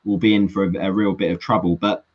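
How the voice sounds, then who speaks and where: A man's voice: sits low, little pitch movement, fast, dull audio. A man from England talking as a guest fan, discussing football in an earnest, analytical, casual way over an online call.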